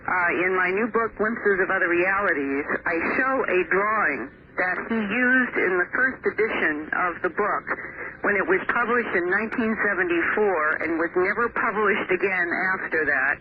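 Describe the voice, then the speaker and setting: A woman reporter giving a measured, explanatory on-air report over a payphone line. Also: fairly low pitch, expressive. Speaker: investigative reporter and author. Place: on a payphone, phoned into a radio show. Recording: muffled noisy payphone line on radio.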